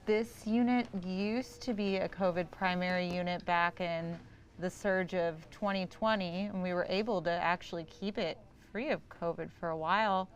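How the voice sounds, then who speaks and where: A woman, a hospital worker, recounting her experience plainly and reflectively in an interview. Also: fairly low pitch. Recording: noisy hospital unit ambience. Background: clear background noise.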